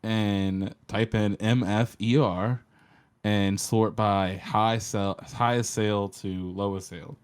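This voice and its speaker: A man's voice: on the low side, fairly even intonation, takes it slowly, smooth. A man chatting casually and profanely as a podcast panelist. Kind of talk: casual profane group chat.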